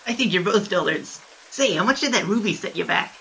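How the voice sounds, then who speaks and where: Man acting a high-pitched, creaky, sly rogue. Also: animated, pushed and bright, slight background noise, full character voice, rough recording. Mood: flippant. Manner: arch, unhurried, dry. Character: sly, flippant bandit king, cool-headed rogue.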